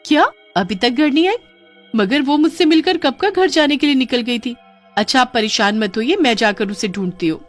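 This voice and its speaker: A woman's voice: sits high, expressive, moderate pace, voice pushed up. A woman voicing a resourceful, caring young girl in a cartoon, speaking with urgent exclamations. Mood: concerned. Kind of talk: Hindi cartoon voice acting. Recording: music under the voice.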